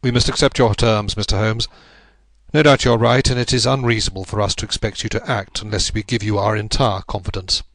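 A man's voice: fairly low pitch, fairly even intonation, a bit bright, dry recording. A man doing a grave old prime minister. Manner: grave, weighty, measured statesman's delivery. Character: old, stern, commanding male prime minister.

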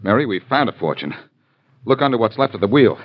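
Male radio sleuth: low-ish, animated, wry, clipped 1940s delivery on a dull old recording. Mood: excited. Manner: brisk, clipped, wisecracking. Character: bold, quick-witted amateur sleuth man, breezy and wry. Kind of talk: 1940s radio crime drama acting.

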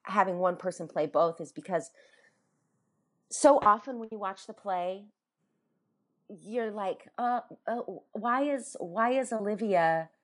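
A woman, a stage actor, answering interview questions in a thoughtful, chatty way, with a higher, young-sounding voice. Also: slow. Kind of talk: interview, relaxed reflective answers.

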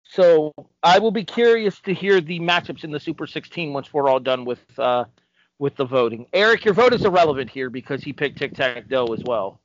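Higher-voiced man chatting, dry dull recording. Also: expressive, moderate pace, no room sound. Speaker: podcast co-host. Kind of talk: casual chat.

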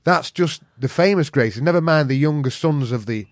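A man's voice: on the high side, animated, a little fast, no room sound, studio quality. A man from Yorkshire with a regional accent, chatting casually as an interview guest and telling anecdotes in a blunt, sweary, earthy way. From Yorkshire, England. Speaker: interview guest, veteran jiu-jitsu practitioner.

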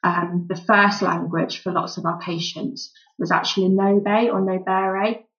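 A woman doctor in a relaxed interview, answering thoughtfully with frequent "um" fillers. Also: medium pitch, a little slow, muffled recording. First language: English. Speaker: volunteer doctor, guest.

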